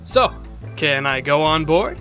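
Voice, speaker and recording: A man playing a gabby, jokey, excitable robot who is eager for adventure. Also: a little high, takes it slowly, faint music behind, full character voice. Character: chatty, eager, jokey little male robot.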